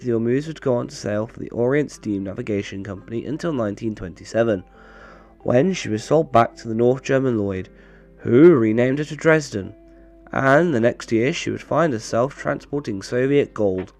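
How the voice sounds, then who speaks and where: A man presents in a dry, deadpan manner at an ordinary male pitch. His voice sounds a little off, as he is getting over a bad cold. Music plays behind him. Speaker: video creator and narrator. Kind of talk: scripted narration with dry humour, casual outro.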